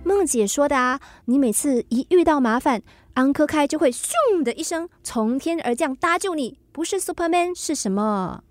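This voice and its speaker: An actress with a Singaporean accent playing a cheeky, doting young daughter in a radio drama, perky and affectionately nagging. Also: female voice, high voice, lively intonation, moderate pace, dry recording. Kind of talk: Mandarin radio drama acting.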